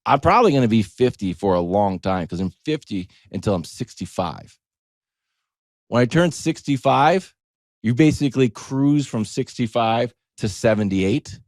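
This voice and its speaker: A man's voice: medium pitch, animated, normal speed, no room sound, studio quality. A middle-aged American man telling a story as a show host in a casual, wry comic monologue, acting out little quoted asides.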